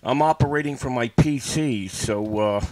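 A man's voice: medium pitch, moderate pace, darkish tone, no room sound. A man from the USA making casual amateur radio contacts, using callsigns and radio phrases and often repeating himself. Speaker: amateur radio operator and livestream host. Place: indoors at a computer. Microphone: studio microphone connected to PC.

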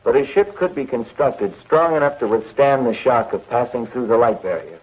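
An actor playing a bold young space pilot, confident and plain-spoken, in a 1950s television serial. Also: male voice, mid-range voice, lively intonation, moderate pace, echoey room, distant mic, close to an everyday voice. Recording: muffled 1950s film soundtrack.

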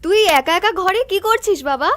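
A woman's voice: extremely high pitch, animated, fairly quick, fairly bright tone, dry recording. A woman voicing a caring, mildly scolding village mother in a cartoon story. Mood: gently chiding. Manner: motherly, chiding.

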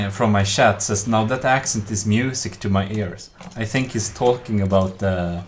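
A man in his thirties talks into a headset while streaming a war game from a small room at home. His voice sits a touch low, and he speaks in a relaxed, halting way, full of fillers and small laughs. A faint hiss of noise runs underneath. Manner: relaxed, hesitant with many fillers, chuckling. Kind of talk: casual gameplay chat.

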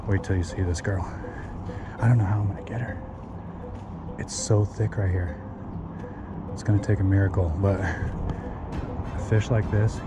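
Excited man fishing outdoors on action cam. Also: on the low side, muffled recording. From Oregon. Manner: hushed and tense while stalking, then yelling with excitement. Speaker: fishing vlogger. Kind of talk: live fishing commentary. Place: outdoors by a pond among bushes. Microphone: head or chest mounted action camera.

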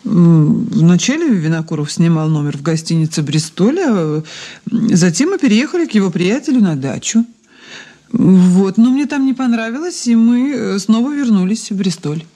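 A woman's voice: mid-range voice, lively intonation, moderate pace, warm tone, lightly acted. An actress playing a refined, evasive society lady under questioning in a Soviet radio play, with genteel, sighing, hesitant speech. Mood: reserved, hesitant.

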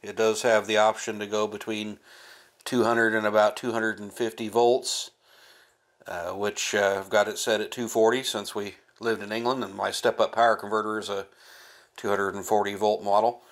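A man's voice: on the low side, flat delivery, normal speed. A middle-aged man giving an informal, explanatory show-and-tell as a hobby channel host. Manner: casual, explanatory. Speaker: vintage electronics channel host.

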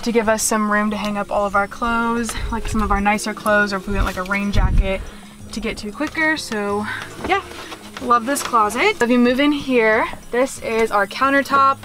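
A woman's voice: on the high side, normal speed, over music. A young woman in her twenties giving a casual tour of her small converted van, chatty and full of "like" and "um", filmed on a handheld camera. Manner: chatty, lots of "like" and "um". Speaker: vlogger. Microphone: handheld wide-angle camera.

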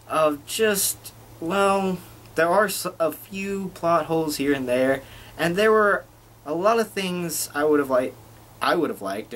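A man's voice: a little high, a bit bright. A young man in a small bedroom giving a casual TV review vlog to a webcam, with loose restarts. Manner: unhurried, loose delivery with restarts. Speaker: video reviewer.

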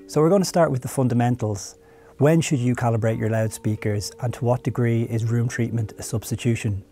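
Mellow-voiced man hosting an interview. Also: medium pitch, fairly even intonation, fairly quick, soft background music. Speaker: host, product marketing head. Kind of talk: conversational interview and product explanation. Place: studio room with curtains and audio gear.

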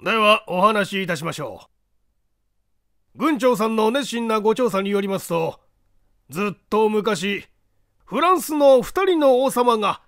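A man acts out a genteel lord of an old castle in an audiobook. His voice is high and very lively in intonation as he formally unfolds old secrets. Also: dry recording, clean studio sound, heavily acted. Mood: courteous, explanatory. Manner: formal, measured storytelling. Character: courteous male castle owner, explaining old secrets.

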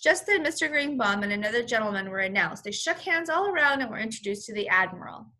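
Lady teacher doing a storybook read along, highish bright voice, really expressive for little kids. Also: fast. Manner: expressive, stops to explain words simply. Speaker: first-grade teacher. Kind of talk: animated storybook read-aloud for children.

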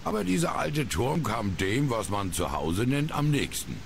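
A man's voice: medium pitch, big pitch swings, a little slow, gravelly, background noise. An actor playing a grumbling old retired sailor and lighthouse keeper in a video game, with folksy seaman's talk. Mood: resigned, grumbling. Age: older. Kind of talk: video game character dialogue. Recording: music under the voice.

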